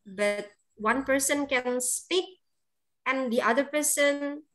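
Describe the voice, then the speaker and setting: A woman's voice: high-pitched, a bit bright. A woman English teacher with an Indonesian accent, giving chatty, encouraging feedback at the end of an online class over a video call. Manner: encouraging, chatty, laughs often, fills pauses with um.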